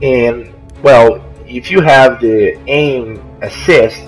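A man's voice: medium pitch, faint music behind. A young man from Brazil with a Brazilian Portuguese accent, a non-native English speaker who halts and restarts while commenting on his gameplay. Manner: halting, searching for words, many restarts. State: coughing from allergy. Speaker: gamer. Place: friend's house while traveling. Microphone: makeshift mic, not his usual. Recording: noisy, poor-quality home recording.

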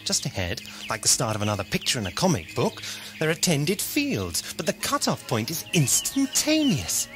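An actor playing a curious, observant time and space traveller, musing whimsically in a sci-fi audio drama. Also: male voice, fairly high pitch, huge pitch swings, normal speed, music in the background. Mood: musing, puzzled.

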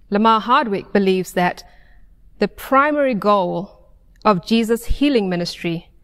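Female pastor, American, middle-aged, mid-range voice, deliberate sermon delivery, long church reverb. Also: medium pitch. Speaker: pastor preaching. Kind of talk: sermon, read from notes. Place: pulpit in a stone church sanctuary. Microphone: lapel mic.

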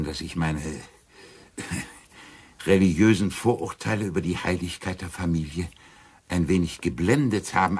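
Very husky, wry clergyman. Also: low-pitched, exaggerated voice. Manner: ornate wording, hesitant musing, wry. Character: erudite, wryly amused clergyman, amateur sleuth. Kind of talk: German radio crime play acting.

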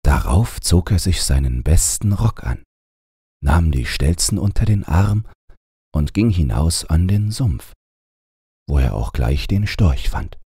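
A man's voice: sits low, sing-song, slow pace, warm tone, breathy edge, no echo, studio quality. A man narrating a scripted fairy tale as a bedtime audiobook.

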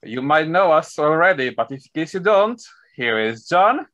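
A man's voice: a little high, very animated, moderate pace, a bit bright, no echo, lo-fi sound. A man in his thirties hosting a panel review over a video call, reading out the running order and stumbling on foreign names. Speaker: host. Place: home room with shelves. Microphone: webcam on video call.

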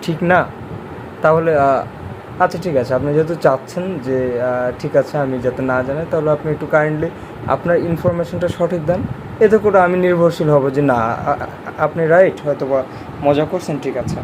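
A man's voice: a little high, fairly even intonation, moderate pace, pauses often. A young man, a content creator talking casually on a phone call and to viewers, politely admonishing. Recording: clearly noisy background hum.

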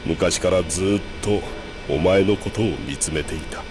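Man acting as deep, echoing calm mastermind. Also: moderate pace, natural voice. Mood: solemn, coaxing. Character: calm sinister male revolutionary mastermind, disembodied and fatherly. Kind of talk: anime game voice acting. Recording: echoing game cutscene audio with background music.

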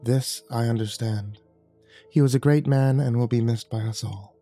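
A man voicing a gentle, solemn archangel who speaks softly and calmly. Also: medium pitch, normal speed, faint music behind, pitched below the performer's own voice, natural voice. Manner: soft and calm.

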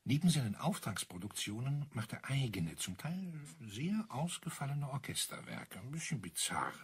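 An actor playing a talkative landlord amused by his eccentric neighbours, husky and explanatory, in a German crime radio drama. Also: medium pitch, sing-song, moderate pace. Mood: chatty, explaining. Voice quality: husky, breathy. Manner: chatty, explanatory, savouring details.

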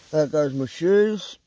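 A man's voice: a little high, warm tone. A middle-aged man with a southern English accent, rambling bluntly and with plenty of swearing in a casual handheld vlog. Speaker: vlogger. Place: inside a small tent in the woods at night. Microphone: handheld phone at close range.

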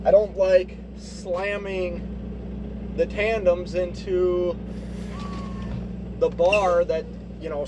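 A man, a truck driver vlogging casually in a relaxed, rambling way while feeling tired and unwell. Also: high voice. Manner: relaxed and rambling, many ums. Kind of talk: casual vlog narration. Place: truck cab and outdoor truck lots. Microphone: phone or dash camera. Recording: noisy outdoor truck yard audio. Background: engine and traffic noise.

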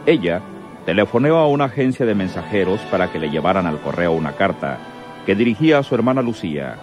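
Deep voiced guy solemn radio drama narrator, big swings, faint music behind. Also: sing-song, fast. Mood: dramatic, suspenseful. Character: solemn male storyteller of a rural crime serial.

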